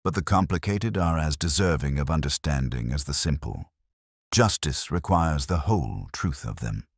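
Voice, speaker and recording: Man reading as grave, gentle inspector, extremely deep. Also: animated, normal speed, breathy edge, no room sound, studio quality, full character voice. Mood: gentle, reflective. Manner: gentle, quietly firm, formal. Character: calm, grave, measured male police inspector. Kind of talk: dramatised detective story dialogue.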